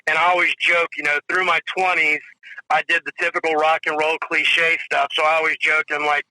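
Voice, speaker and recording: A man's voice: on the high side, flat delivery, fairly quick, pushed and bright, no room sound, poor audio. A man in his forties, a drummer guest telling rambling stories in a casual interview, full of "you know" and "if you will". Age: 40s.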